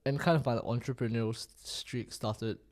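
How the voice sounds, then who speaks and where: A man's voice: medium pitch, fairly even intonation, moderate pace, a little mellow. A man in his twenties from Singapore, chatting casually as a podcast guest and thinking aloud with lots of fillers. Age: 20s. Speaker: guest, student entrepreneur. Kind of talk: casual interview chat.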